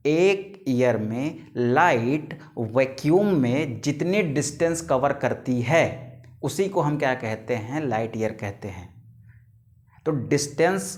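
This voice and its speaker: A man in his thirties teaching biology, who calls his students "beta", asks them questions and answers them himself, and stretches terms out word by word. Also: mid-range voice. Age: 30s. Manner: stretches key English terms out slowly, word by word. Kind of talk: classroom lecture to students.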